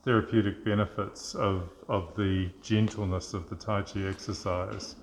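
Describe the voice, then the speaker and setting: Male interviewer, low-pitched, friendly and a little stumbling, faint background noise. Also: little pitch movement, normal speed. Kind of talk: casual interview questions.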